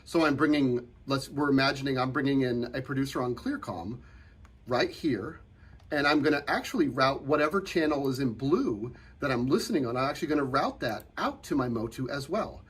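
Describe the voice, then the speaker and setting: A man's voice: mid-range voice, lively intonation, brisk, a bit bright, husky. A man presenting an informal hands-on tech tutorial, explaining conversationally and often rephrasing his points. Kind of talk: informal hands-on software and hardware demo.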